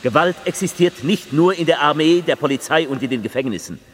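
An actor playing a fervent politician giving a theatrical speech, declamatory and emphatic, with deliberate pauses. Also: male voice, a little high, expressive, slight background noise, natural voice. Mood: agitated, emphatic. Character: fervent male politician delivering a rambling, faltering public speech.

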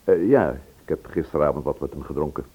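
A man's voice: a bass voice, fast, slightly rough. An actor playing a genial, teasing man with a hearty, chatty manner in a Dutch radio play. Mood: easy teasing. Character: genial, teasing man bringing news to friends. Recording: dull old radio play recording.

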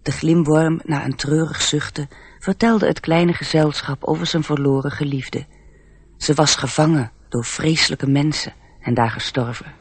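A man with a husky, gravelly voice reading scripted narration for a radio play. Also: a little high, fairly even intonation, normal speed, no echo.

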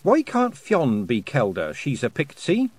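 A man's voice: medium pitch, huge pitch swings, measured pace, a little mellow, no echo, voice pushed up. A man voicing an earnest, stubborn young girl in a storybook, direct and questioning. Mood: indignant, puzzled.

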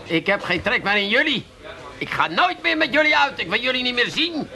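Grumpy hot-headed family man, creaky n high, blustering away in an 80s comedy radio play. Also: sing-song, fairly quick, bright, forceful tone, heavily acted. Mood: indignant, disgusted. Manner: indignant, blustering. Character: Harry, grumpy, easily offended, hot-tempered family man. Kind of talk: 1980s Dutch comedy radio play acting.

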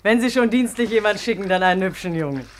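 An actress in a 1950s German radio play playing a flirtatious, teasing washerwoman who mocks with drawn-out endearments. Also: mid-range voice, animated, slight background noise, natural voice. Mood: teasing, inquisitive. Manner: coquettish, mocking, drawn-out endearments.

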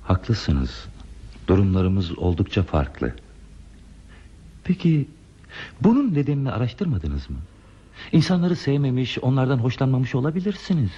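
Radio-play hermit: lonely, polite, pensive, mellow voice with expressive swings, muffled recording. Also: male voice, mid-range voice, a little slow, pauses often, breathy edge, heavily acted. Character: lonely, gentle hermit man living in a remote place. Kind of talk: Turkish radio theater acting.

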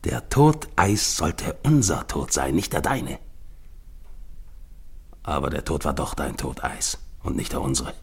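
An actor playing a grim, haunted leader of a doomed polar expedition, speaking in a solemn, incantatory radio play monologue with a gravelly, husky voice. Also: male voice, a bass voice, sing-song, a little slow, long pauses, exaggerated voice. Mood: grim recounting. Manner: solemn, incantatory addresses to ice and storm.

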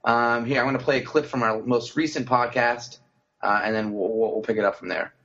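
A man co-hosts a casual sports podcast at an ordinary pitch, thinking aloud with fillers and restarts. His voice comes through slightly muffled, with a dull lo-fi quality. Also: fairly even intonation, fairly quick, a bit bright. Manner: conversational, frequent fillers and restarts. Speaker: podcast co-host.

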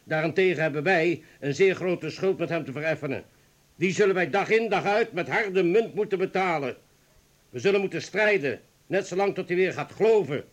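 An actor playing a grave, resolute comrade burdened by guilt, speaking deliberately in a radio play. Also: male voice, high-pitched, lively intonation.

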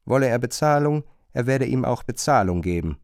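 A man's voice: medium pitch, expressive, brisk, darkish tone, dry recording. Male literary narrator, close dry sound. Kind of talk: scripted literary narration in radio drama.

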